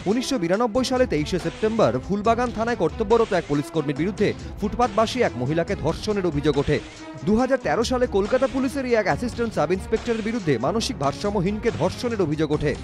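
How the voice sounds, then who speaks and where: A man's voice: sits high, expressive, fast talker. A man reading scripted news narration in a dramatic, emphatic way. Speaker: news reporter. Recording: music bed under voice. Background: background music.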